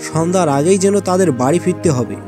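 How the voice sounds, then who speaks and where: Male narrator for an animated folk story, pitched a little high, music behind. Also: quick pace. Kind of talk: scripted storytelling narration for a children's cartoon. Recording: background music under the voice.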